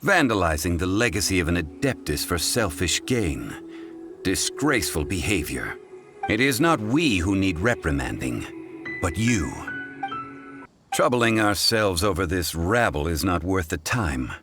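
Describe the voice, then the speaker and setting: An actor playing a composed, courteous, scholarly gentleman in a fantasy video game, speaking in a formal, measured, erudite way. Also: sits low, sing-song, over music, full character voice. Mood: stern, composed. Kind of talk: video game character dialogue.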